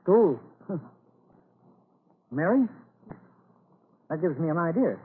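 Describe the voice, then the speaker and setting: Resolute rural family man, high-pitched, plain-spoken and rousing, on narrow-band vintage radio. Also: big pitch swings, takes it slowly. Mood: urgent, resolute. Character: determined farmer rallying his neighbours, earnest family man. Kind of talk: 1940s radio acting. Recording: scratchy old radio broadcast, narrow band, hissy.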